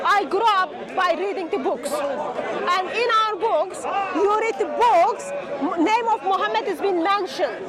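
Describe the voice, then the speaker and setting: A woman with a Turkish accent argues in an open-air debate in a very high voice. She is insistent and keeps putting questions. A loud crowd surrounds her. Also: a little slow. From Turkey. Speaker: street debater. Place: outdoors at a busy public speakers' corner. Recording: outdoor public recording, loud crowd chatter.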